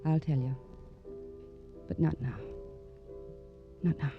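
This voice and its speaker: A woman playing a wistful, mysterious young murder suspect in 1950s radio crime drama style, with measured, intimate phrasing. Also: deep voice, fairly even intonation, muffled recording. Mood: bitter, evasive. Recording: vintage radio recording with music.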